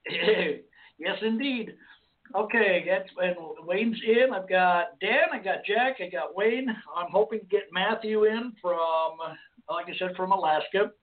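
A hoarse man hosting a call-in radio show, talking casually and rambling with restarts. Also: sits high, a little slow. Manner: rambling, with many restarts and fillers. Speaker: host. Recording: phone-like lo-fi line.

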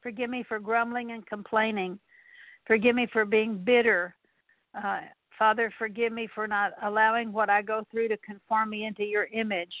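Woman praying, earnest, says sorry over and over, flat tone, dry thin phone sound. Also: on the high side, normal speed, no echo. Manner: earnest, repetitive litany of confessions. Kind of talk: spoken prayer of repentance. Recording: tinny phone-like line, dry.

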